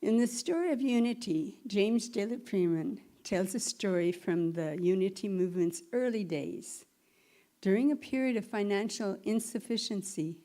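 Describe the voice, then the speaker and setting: Slow, mellow woman reading devotions. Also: mid-range voice. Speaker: service reader. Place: church service. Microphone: microphone at a lectern.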